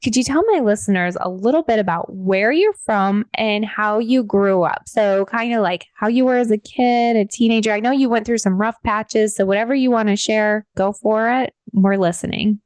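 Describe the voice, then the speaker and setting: A woman's voice: mid-range voice, normal speed, hardly any pauses, no room sound. Upbeat woman podcast host, dry sound. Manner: upbeat and chatty, with frequent ums. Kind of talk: casual interview chat.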